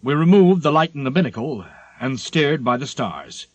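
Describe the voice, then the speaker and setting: A man narrating a literary memoir as an audiobook, in a measured, expressive storytelling manner. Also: a little high, no room sound.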